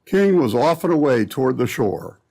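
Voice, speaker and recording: Highly animated male radio announcer. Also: moderate pace, creaky, background noise. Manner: dramatic, emphatic delivery. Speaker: announcer and narrator. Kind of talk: scripted old-time radio narration.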